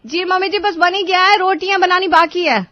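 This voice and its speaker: A woman voicing an overworked, complaining young daughter-in-law in an animated story. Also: extremely high pitch, fairly quick, no echo, lo-fi sound, voice pushed up. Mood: plaintive. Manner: plaintive, respectful to her elder.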